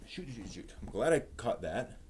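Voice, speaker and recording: A man hosting a casual live stream, talking in a low-key, halting, muttering way. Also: very animated, a little mellow, a little hiss or hum.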